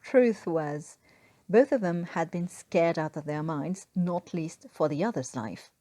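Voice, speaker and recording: Mellow-voiced woman reading a story. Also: on the low side, a little slow, no room sound. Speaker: podfic reader and story author. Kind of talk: scripted fan fiction narration.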